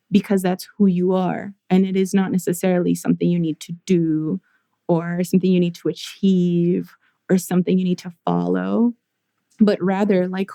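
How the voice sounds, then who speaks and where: A young adult woman with a low, gravelly voice and a mellow tone talks through her ideas in a thoughtful, measured way, now and then starting a phrase over. She sounds like an interview guest on a podcast mic in a dry, close space. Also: no room sound. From United States. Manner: thoughtful, measured, with frequent restarts. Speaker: guest, therapist and artist. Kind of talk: reflective interview conversation.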